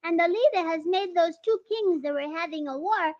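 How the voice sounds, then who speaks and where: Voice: very high voice, moderate pace. A performer playing a delighted, eager young child listener in a 1940s radio programme. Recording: dull vintage radio recording.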